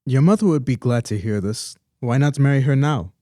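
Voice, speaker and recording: A man's voice: medium pitch, normal speed, warm tone, no room sound, clean studio sound, close to an everyday voice. A man acting a concerned, probing father of a grown son in a radio play. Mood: concerned, gentle.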